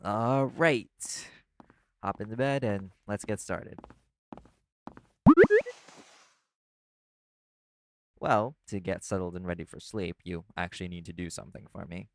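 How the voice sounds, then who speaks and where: A man playing a laid-back, caring vampire in an audio roleplay, talking the listener toward sleep in a casual, gently instructive way. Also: sits low, steady tone, moderate pace, a little hiss or hum. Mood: playfully bossy. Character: laid-back male vampire companion, teasing yet caring.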